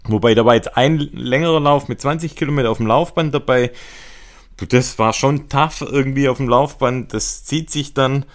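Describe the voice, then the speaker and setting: Male podcast co-host, mid-range voice, informal conversational style for running chat. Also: mid-range voice. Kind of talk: casual chat about running.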